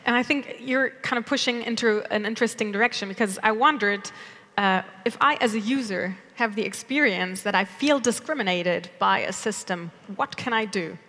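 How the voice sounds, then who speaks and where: A woman's voice: medium pitch, normal speed, pushed and bright. Thirties woman moderator, conversational, on a big conference stage with hall echo. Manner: conversational, asks open questions. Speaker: panel moderator. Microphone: headset mic. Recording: reverberant hall.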